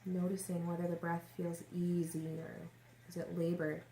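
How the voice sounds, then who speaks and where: A woman leading a guided meditation as a yoga nidra teacher, giving gentle, unhurried instructions with long pauses. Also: fairly low pitch, completely flat delivery. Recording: roomy echo, faint background noise.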